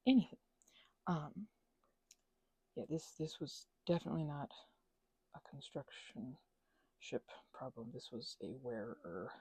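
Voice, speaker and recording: A woman rambling playfully in casual ASMR craft chat, scatterbrained and often interrupting herself, in a husky, breathy voice that often drops toward a whisper. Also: a bass voice, animated, a little slow, long pauses, warm tone, no echo. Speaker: ASMR creator.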